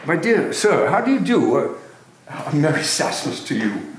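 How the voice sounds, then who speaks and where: A man's voice: on the high side, big pitch swings, a little slow. A man playing a reformed old miser, gleeful, mock-stern and generous, in an amateur stage reading with theatrical exclamations. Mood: warm, earnest. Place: live amateur reading in a reverberant room. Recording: echoey room from far off, noisy.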